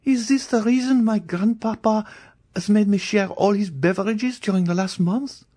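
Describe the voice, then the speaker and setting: A man voicing a frightened, sickly young noblewoman who pleads in a high, breathless voice. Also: moderate pace, dark tone.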